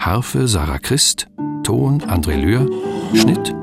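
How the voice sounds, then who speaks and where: A man reading out the credits of a radio play slowly and deliberately. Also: lowish voice, sing-song, gravelly. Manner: slow, deliberate. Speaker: credits announcer. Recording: voice over loud guitar music.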